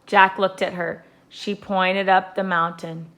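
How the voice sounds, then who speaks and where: A woman, a teacher, reading a children's adventure story aloud with deliberate, expressive emphasis. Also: lowish voice.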